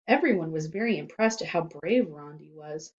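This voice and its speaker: A woman's voice: deep voice, expressive, normal speed. Woman reading a children's book, slightly muffled. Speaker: storybook reader. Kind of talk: expressive children's book read-aloud. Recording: slightly dull, lo-fi sound.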